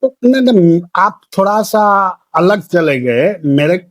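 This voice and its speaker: Man, high pitch, very slow pace, formal interviewer, phone discussion. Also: very animated, no room sound, clean studio sound. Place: recorded audio call.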